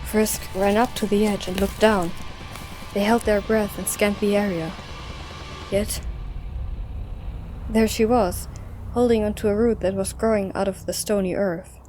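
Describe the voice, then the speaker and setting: Mellow woman narrating a story. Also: mid-range voice, fairly even intonation, a little slow, long pauses, faint music behind.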